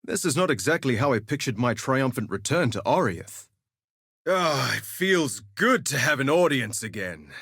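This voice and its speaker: An actor playing a vain, flirtatious gladiator duelist in a video game, with a theatrical, suave and sardonic delivery. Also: male voice, mid-range voice, a bit bright, slightly rough, no echo, clean studio sound, exaggerated voice. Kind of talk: scripted game voice lines, theatrical quips.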